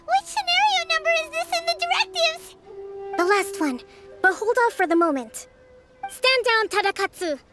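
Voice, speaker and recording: Super high girly game leader, polite n clever, voice goes up and down, music behind. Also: sing-song, moderate pace. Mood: composed, firm. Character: gentle, poised young female strategist, politely shrewd leader. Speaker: video game character. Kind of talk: scripted game dialogue.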